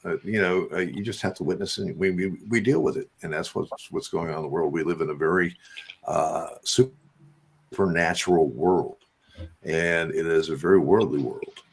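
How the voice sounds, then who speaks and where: Male host, low husky voice, halting and repetitive, earnest preacher-like talk. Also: fairly even intonation. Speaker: radio show host. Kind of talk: casual religious talk.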